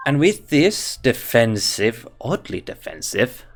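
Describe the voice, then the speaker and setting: A man with a Finnish accent speaking English as a second language, talking slowly and haltingly as he reviews a game. He often restarts his sentences and thinks aloud, with faint music playing behind him. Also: mid-range voice, big pitch swings. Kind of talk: casual game commentary.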